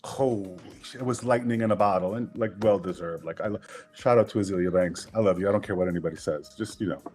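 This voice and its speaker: A middle-aged man from Queens, New York, a guest in a casual interview, relaxed and candid, laughing often. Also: low-pitched, fast talker.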